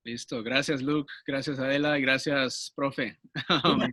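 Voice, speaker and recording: A man who grew up in Washington, a Spanish teacher and guest, talking in a friendly, halting way with easy laughs over a video call. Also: medium pitch, fairly even intonation, bright, forceful tone, no room sound, studio quality. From born in Colombia, raised in Washington. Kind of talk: casual interview talk.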